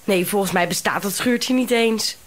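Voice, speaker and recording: An actress playing an unruffled, playful daughter in a radio drama, talking in a breezy, teasing manner. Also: female voice, medium pitch, normal speed, lightly acted. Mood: amused. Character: amused, unruffled, playful daughter of an eccentric man.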